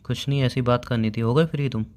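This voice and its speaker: Man as young boyfriend, medium pitch, sulky, reproachful, sarcastic, natural phone-drama delivery. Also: fast talker, darkish tone, lightly acted. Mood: sulky, resigned.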